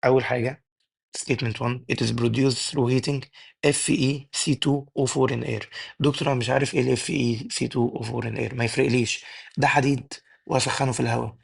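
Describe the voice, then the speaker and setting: A young man with an Egyptian Arabic accent tutoring exam chemistry, his voice worn and going hoarse, firing questions at the class and answering them himself. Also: mid-range voice, fairly even intonation. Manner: rapid drilling, asks and answers his own questions. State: losing his voice. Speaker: chemistry teacher. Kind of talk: exam-prep tutoring lesson.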